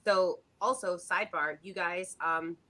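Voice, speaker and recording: A woman's voice: lowish voice, measured pace, fairly bright tone. A middle-aged woman co-hosting a casual livestream chat, speaking in an earnest, reflective way. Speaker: co-host.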